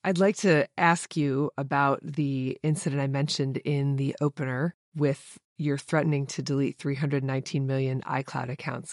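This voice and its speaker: A woman hosting a podcast, reading a scripted guest introduction and asking clear, measured questions. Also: low-pitched, darkish tone, no echo, clean studio sound. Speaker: podcast host.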